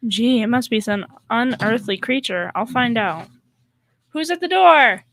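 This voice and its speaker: Radio comedy ditz played by a woman: young secretary, high-pitched and sharp, sweetly naive. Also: animated, unhurried. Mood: innocent, spooked. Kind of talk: 1940s radio comedy acting.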